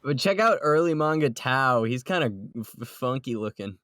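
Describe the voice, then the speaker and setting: A man's voice: mid-range voice, lively intonation, moderate pace, fairly bright tone, dry recording, studio quality. A man hosting a podcast in casual group chat, rambling, joking and ready to argue. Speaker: podcast host. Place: close voice chat recording.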